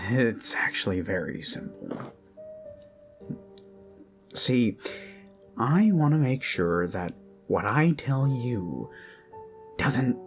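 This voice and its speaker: Someone playing a very slow, unhinged, obsessed kidnapper. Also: medium pitch. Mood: creepy, coaxing. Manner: slow, halting, lapsing into whispers and giggles. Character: obsessed, unhinged kidnapper doting menacingly on a captive. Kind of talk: improvised yandere ASMR roleplay. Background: eerie background music.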